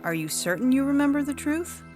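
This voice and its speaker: A woman voicing a composed female judge who speaks in a measured, formal, coolly patronizing way in an audio story. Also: sits high, animated, a little mellow. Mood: stern, patronizing. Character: composed, condescending female judge of a virtual court. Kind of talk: science fiction audio story dialogue. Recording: music bed under the voice.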